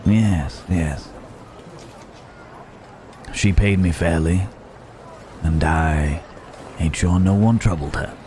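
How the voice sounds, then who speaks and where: Man acting a deep, slow-drawling cowboy drifter. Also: mellow, slight background noise. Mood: relaxed, reminiscing. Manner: unhurried, pausing drawl, teasing and reassuring. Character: rugged, protective cowboy drifter with a rough reputation. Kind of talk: intimate ASMR roleplay, Wild West character acting.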